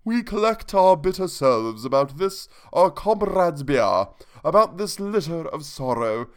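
A man's voice: fairly high pitch, big pitch swings, unhurried, clean tone, pitched above the performer's own voice. A man voicing a haughty old vampire count who declaims a florid eulogy. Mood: pompous.

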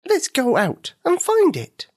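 A woman voicing a kind, helpful firefly in a children's story, with a bright, encouraging manner. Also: sits high, wildly swooping pitch, slow, pitched above the performer's own voice, exaggerated voice.

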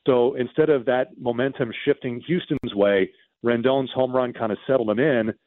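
A man's voice: medium pitch, fairly even intonation, normal speed, no echo, phone-quality sound. A man talking off the cuff as a sports radio commentator, recounting games in emphatic detail and often repeating a phrase for stress. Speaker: radio baseball commentator and guest.

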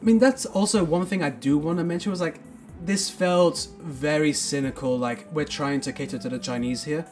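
A man on a film review podcast talks enthusiastically and rambles through his points with plenty of fillers. His voice sits a little high for a man. Faint music plays underneath. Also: normal speed, darkish tone. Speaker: podcast co-host. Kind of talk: casual film review chat.

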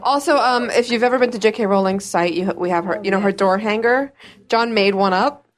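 A woman's voice: medium pitch, fairly quick. A woman co-hosting a live podcast in front of a crowd, chatty and laughing often while plugging merchandise. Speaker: podcast co-host. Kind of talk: live podcast show before an audience.

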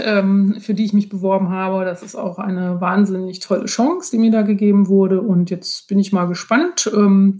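A woman's voice: mid-range voice, a little mellow. A woman speaking as a guest author in a casual podcast conversation, explaining things in a reflective way.

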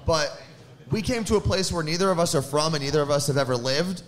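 A man's voice: a little high, fast talker. A young man hosting a live podcast before an audience, chatty and joking. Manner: laughing, jokey banter. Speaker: radio host.

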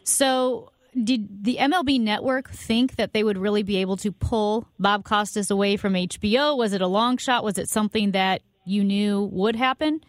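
A woman hosting a radio show, asking measured questions and promoting the episode. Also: mid-range voice, dry recording.

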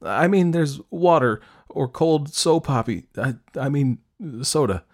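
A man's voice: mid-range voice, fairly even intonation, unhurried, voice pushed up. A man voicing a smitten, shy teenage girl who stammers hesitantly in an audiobook story. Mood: nervous, stammering. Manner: stammering, hesitant, breathy hushed asides.

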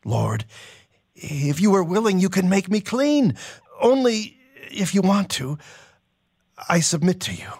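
A man voicing a desperate male leper who begs for healing, his voice pushed high as he reads a dramatized scene aloud. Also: sing-song, measured pace, pauses often, a little mellow, slightly rough, no room sound, studio quality, full character voice. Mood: desperate pleading.

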